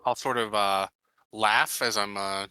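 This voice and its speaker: A man's voice: lowish voice, steady tone, measured pace, bright, forceful tone, clear, resonant tone, no echo. A man playing a tabletop roleplaying game, hesitantly thinking aloud as he narrates and voices his character.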